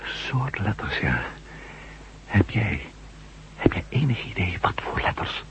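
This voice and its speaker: An actor playing a curious, dryly joking ex-astronaut reporter in a science-fiction radio drama. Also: male voice, lowish voice, big pitch swings, takes it slowly, creaky, a bit bright, husky, in a whisper, full character voice. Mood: wry, curious. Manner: dry, teasing, musing. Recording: dull lo-fi radio recording, humming noise.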